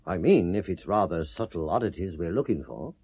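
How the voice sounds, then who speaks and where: An actor playing a wry, measured British police detective in a 1970s radio drama, with a husky, mellow voice. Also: male voice, low-pitched, very animated, no echo, exaggerated voice. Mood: wry doubt.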